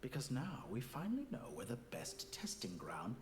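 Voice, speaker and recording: An actor playing a cold, arrogant scientist villain in a video game, speaking in a measured, condescending, philosophical way. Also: male voice, medium pitch, wildly swooping pitch, echoey room, slight background noise. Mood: calm, calculating. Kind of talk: scripted video game dialogue.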